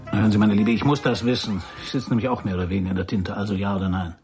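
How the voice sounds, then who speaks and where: An actor playing a blunt, sardonic private detective in a radio crime drama, drawling his probing questions in a gravelly, husky voice. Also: fairly low pitch, steady tone, racing, a little mellow, faint music behind, close to an everyday voice. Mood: prodding.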